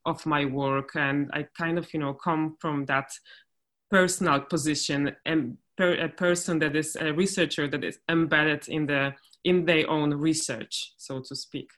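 A woman's voice: low voice, fairly even intonation, unhurried, a bit bright. A woman from Poland, an academic guest on a podcast, answering thoughtfully with frequent "uh" hesitations. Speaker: guest, linguist and trainer. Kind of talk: conversational interview answer.

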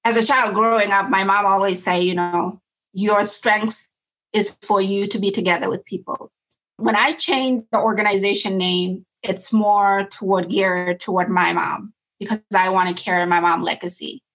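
A woman's voice: mid-range voice, steady tone, moderate pace, fairly bright tone, rough recording. Earnest woman in an interview. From South Sudan. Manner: earnest, reflective, with restarts. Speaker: nonprofit founder. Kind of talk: interview conversation.

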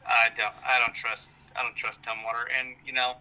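A man's voice: medium pitch, flat delivery, normal speed, bright, forceful tone, poor audio. An American man in a casual, profane chat, rambling along with wry self-deprecation. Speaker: golf vlogger and amateur golfer.

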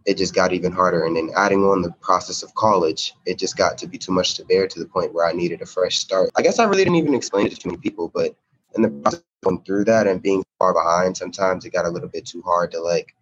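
A man's voice: deep voice, quick pace, lo-fi sound. A young American man in his late teens, a student giving an earnest, reflective personal account in conversation. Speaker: student, podcast guest.

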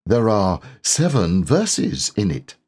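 Man as a gentle shy storybook bear, slow, thoughtful, earnest, rising lilt, slightly husky. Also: lowish voice, wildly swooping pitch, heavily acted.

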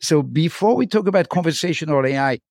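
A man with a French accent hosting a podcast interview, speaking haltingly with frequent fillers and restarts. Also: a little high, lively intonation, darkish tone, no echo, studio quality. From France. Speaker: podcast host. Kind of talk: casual interview chat.

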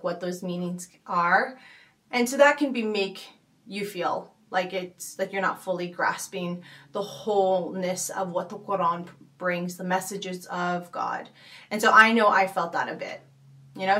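Woman podcast host, mid-pitched, reflective and unhurried, with lots of ums and restarts, set a little back from the mic. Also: far from the mic. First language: not Arabic. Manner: thoughtful, many fillers and restarts, gentle laughs. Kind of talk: casual reflective talk.

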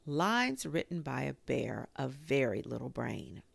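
A woman voicing an amiable, slow-witted male toy bear in a children's storybook, speaking simply and earnestly with some hesitation. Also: a bass voice, very animated, gravelly, husky, no room sound, full character voice. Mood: reciting.

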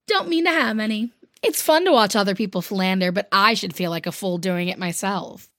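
A woman's voice: medium pitch, fairly quick, a bit bright, no echo, clean studio sound, lightly acted. A woman voicing a blunt, impatient, tomboyish teenage girl who speaks scornfully.

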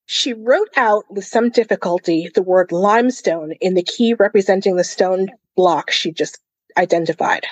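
Woman, medium pitch, podcast co-host, casual book talk and read-aloud, very dry close sound. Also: normal speed, breathy edge, no room sound.

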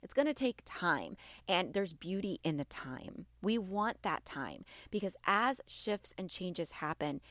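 A woman hosting a motivational podcast for mothers, her voice a little raspy from allergies, encouraging and repeating phrases for emphasis. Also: medium pitch, fairly bright tone, no echo, studio quality. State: slightly raspy from allergies and screaming. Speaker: podcast host.

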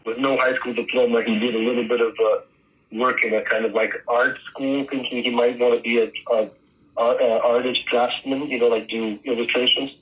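A man's voice: medium pitch, level tone, brisk, a bit bright, low-quality recording. A man in his sixties talking casually in an interview, loose and conversational, circling back on his phrases. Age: 60s. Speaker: interviewee remembering his father.

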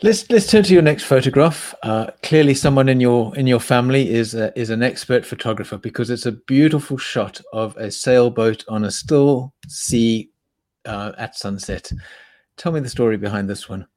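A man's voice: mid-range voice, moderate pace, a little mellow. A man hosting an interview, politely guiding his guest to the next question. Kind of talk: interview host prompts.

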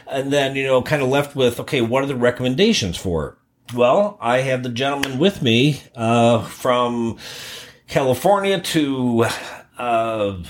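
Male psychologist host, middle pitch, loose halting delivery, office interview. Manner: halting, lots of fillers and restarts. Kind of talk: casual interview podcast.

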